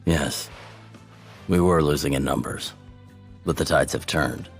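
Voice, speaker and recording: An actor playing a grave, analytical military cadet in a video game, matter-of-fact in delivery. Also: male voice, extremely low pitch, a little slow, soft background music.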